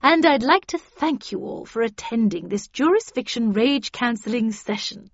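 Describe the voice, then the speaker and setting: An imperious older lady, acted out by a woman reader, speaking in a raised, commanding voice. She is brisk, impatient and formally polite, a storybook grande dame on a dry recording. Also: on the high side, expressive, no room sound, lo-fi sound, voice pushed up. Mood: forced politeness.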